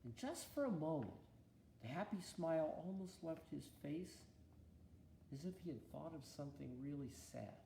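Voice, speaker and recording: A man narrates a children's Bible story in a deliberate, expressive storytelling style. His voice sits a little high and moves with lively variation, heard in an echoey room. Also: creaky, slight background noise. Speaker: bishop reading a story aloud to a listener.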